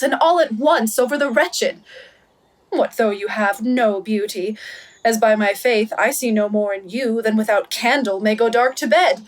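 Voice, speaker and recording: A high-pitched, witty young woman played by an actress in a Shakespeare radio play. She is bold and brisk, teasing and scolding in declamatory period language. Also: lightly acted. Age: young adult. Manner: brisk, mocking, declamatory verse and prose.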